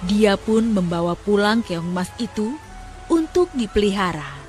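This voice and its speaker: A woman's voice: medium pitch, animated, unhurried, a little mellow. A young woman narrating a scripted children's folk tale as storyteller. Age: young adult. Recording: music under the narration.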